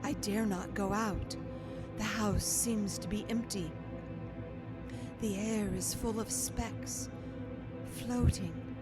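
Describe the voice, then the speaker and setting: Frail, frightened young gothic heroine in radio horror, drawn-out melodramatic lines over heavy music. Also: female voice, fairly high pitch. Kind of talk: old-time radio horror drama acting. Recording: live radio play under loud music.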